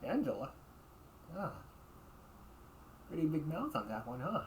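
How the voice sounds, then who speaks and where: A young delinquent older brother , played by a man, talks in blunt slang with a sarcastic, needling manner. His pitch is lively and shifting, as in a homemade audio drama. Some noise sits behind the voice. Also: unhurried. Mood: suspicious, sarcastic. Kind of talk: amateur audio roleplay acting.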